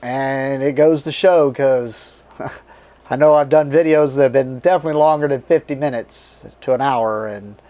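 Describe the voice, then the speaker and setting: A man hosting a casual card game unboxing, his voice strained and tiring as he reads card names aloud in short halting bursts. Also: medium pitch, warm tone. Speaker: board game channel host.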